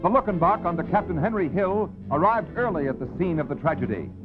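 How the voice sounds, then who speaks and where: High-pitched, dramatic 1930s newsreel man, tinny. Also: lively intonation, fast. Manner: dramatic, solemn, declamatory. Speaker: newsreel narrator. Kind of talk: 1930s newsreel scripted narration. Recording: tinny 1930s newsreel soundtrack, music behind.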